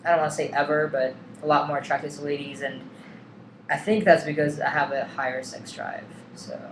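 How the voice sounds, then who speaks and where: A man's voice: on the high side, fairly even intonation, fairly quick. A young man talking casually and candidly to camera, hesitant with many ums and likes. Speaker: vlogger. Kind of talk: casual personal vlog. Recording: echoey room, faint background hum.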